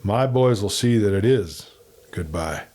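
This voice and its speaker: A man voicing a shrewd, hard, steady lawman in a western story, with a blunt, commanding manner. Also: lowish voice, a little slow.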